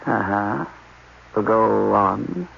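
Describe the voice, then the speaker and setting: A man's voice: fairly low pitch, lively intonation, drawn-out words, vocal fry, full character voice. A man playing a stern, skeptical general in a radio drama, speaking in a clipped, incredulous, theatrical way. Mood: wary, doubtful. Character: stern, dismissive male general, skeptical authority figure. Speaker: actor. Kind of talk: 1980s radio drama acting. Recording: dull, noisy old radio recording.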